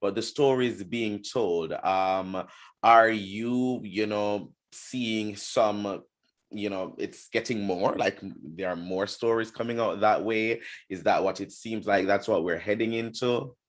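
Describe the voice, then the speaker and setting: A man's voice: fairly low pitch, slow pace. A man hosting a casual, conversational interview, affirming his guests and often repeating words for emphasis. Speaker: interviewer and host.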